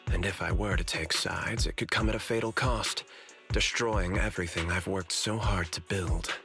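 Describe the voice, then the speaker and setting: A deep-voiced, polite corporate schemer. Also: male voice, fairly even intonation, normal speed, vocal fry, sharp tone, heavily acted. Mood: confiding. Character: smooth, candid male corporate security chief, politely ambitious. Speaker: corporate manager character. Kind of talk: video game story dialogue. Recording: music behind the voice.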